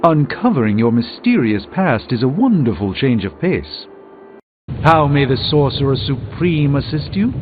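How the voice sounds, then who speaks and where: A man's voice: mid-range voice, big pitch swings, measured pace, noisy background. An actor playing a pompous, refined middle-aged male sorcerer in a video game, speaking formally with dry wit. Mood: courteous, appraising. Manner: pompous, formal, dryly wry, theatrical. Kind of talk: scripted video game dialogue. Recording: thin phone-like band, music behind.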